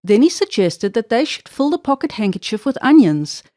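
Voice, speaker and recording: Female storyteller for children's read-aloud, very animated pitch, measured phrasing with drawn-out key words, dry recording. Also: dry recording. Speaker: storybook narrator.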